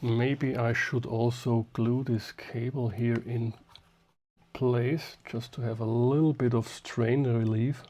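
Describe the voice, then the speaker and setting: Slow-paced man talking through a repair. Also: mid-range voice, fairly even intonation, a little mellow. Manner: muttering to himself in short, halting phrases while working. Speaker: hobbyist host of radio repair videos. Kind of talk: hands-on repair demonstration, unscripted.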